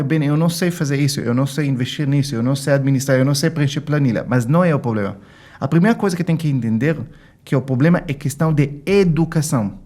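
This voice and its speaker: A man's voice: fairly high pitch, a little mellow. A man from Israel with an Israeli accent, talking as an interview guest, explaining things emphatically and acting out bits of quoted dialogue. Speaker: guest financial consultant. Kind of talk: explanatory interview talk with anecdotes.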